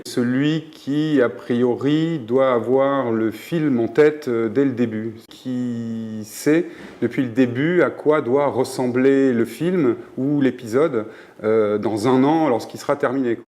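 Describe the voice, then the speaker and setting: A man in an interview describing a director's role, pausing often with fillers. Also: medium pitch, mellow. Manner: hesitant, many fillers. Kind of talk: explanatory interview.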